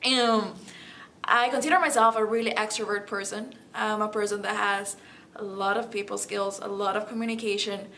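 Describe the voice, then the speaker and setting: A woman's voice: medium pitch, steady tone, normal speed, bright, forceful tone. Young Salvadoran-accented woman, noisy recording. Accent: Salvadoran Spanish. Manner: earnest, non-native phrasing. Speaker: student election candidate. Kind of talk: candidate interview, campaign pitch.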